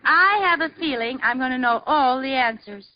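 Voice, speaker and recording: Actress as a giddy young wife in old radio comedy, highish voice, dull hissy sound. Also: female voice, lightly acted. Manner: giddy, flustered, quick to laugh. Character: scatterbrained excitable young wife. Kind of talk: 1940s radio comedy acting.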